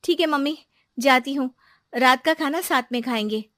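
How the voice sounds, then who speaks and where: A woman voicing a young daughter-in-law in a family drama, dutiful, brisk and assertive. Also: high voice, a bit bright, voice pushed up.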